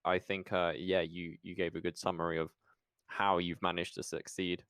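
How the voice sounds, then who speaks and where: A man's voice: sits low, little pitch movement, normal speed, fairly bright tone, clear, resonant tone, no room sound, clean studio sound. A man hosting a podcast interview in a casual, conversational style.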